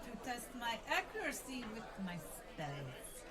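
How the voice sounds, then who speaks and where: A woman's voice: on the high side, wildly swooping pitch, slow, full character voice. Woman playing a haughty mage, crowd murmur behind. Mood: dry. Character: cool, haughty, wealthy female spellcaster adventurer. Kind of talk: comedy radio play acting.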